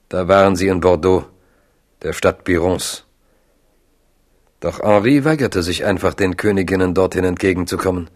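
Erzähler mit sehr tiefer Stimme. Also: male voice, sits low. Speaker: narrator. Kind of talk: scripted literary narration.

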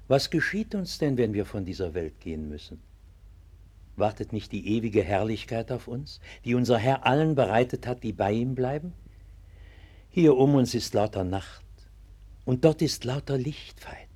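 An actor playing a devout, steadfast pastor in a 1960s German radio play, speaking with earnest solemnity. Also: male voice, mid-range voice, mellow, husky. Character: devout, steadfast pastor consoling his flock in a plague year.

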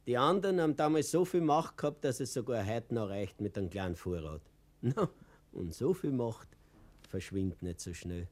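An actor playing a stubborn, indignant former political prisoner in a German radio play, husky and emphatic. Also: male voice, mid-range voice, expressive, normal speed, darkish tone, lightly acted. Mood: wry, conversational. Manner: impassioned, emphatic.

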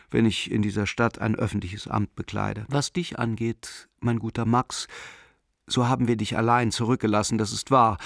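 A man's voice: mid-range voice, no echo, clean studio sound, close to an everyday voice. An actor playing a prosperous, jovial family man in a radio play, expressively reading out his boastful letter to a friend.

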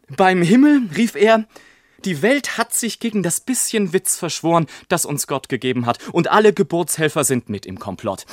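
An actor playing a storyteller in a literary radio play, theatrical and emphatic with ornate phrasing. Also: male voice, mid-range voice, no echo, clean studio sound. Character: son narrating his eccentric father's theories, mimicking him excitedly. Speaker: narrator.

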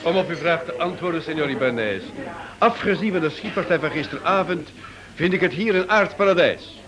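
Man playing a wry detective, very animated. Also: a little high, fairly quick, crowd noise, close to an everyday voice. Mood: polite. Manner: urbane, lightly ironic. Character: courteous, wry, self-assured male detective. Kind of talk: radio detective drama acting.

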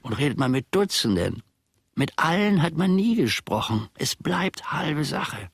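An older male actor playing a grumbling, self-important old servant in a German radio play, musing deliberately to himself with a gravelly, husky voice, often sinking to a murmur. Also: on the high side, big pitch swings, no echo, clean studio sound, heavily acted. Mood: grumbling, confiding.

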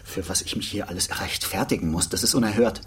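A man's voice: sits low, quick pace, a bit bright, breathy edge, full character voice. A man playing an indignant, self-righteous, pedantic busybody in a German radio crime drama.

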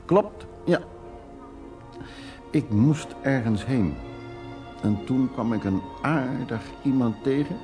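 An actor playing an evasive, hard-drinking man in a radio drama, telling his stories in a rambling, teasing way. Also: mid-range voice. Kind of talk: Dutch radio drama acting.

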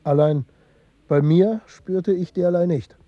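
An actor playing an aged, austere dead scholar with a formal, professorial manner in a German radio drama. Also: male voice, high-pitched, slow pace, no room sound, highs cut off. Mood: stiff, reserved.

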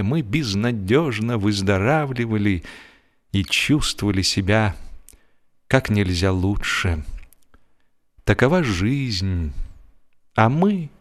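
A man narrating a humorous literary story in a theatrical, expressive way, with deliberate pauses and stretched words for emphasis. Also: lowish voice. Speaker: audiobook narrator and actor.

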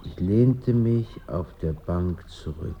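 Deep, slow male narrator, reflective and measured, scripted radio-play narration. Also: lively intonation, heavily acted. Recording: dull sound with faint outdoor noise.

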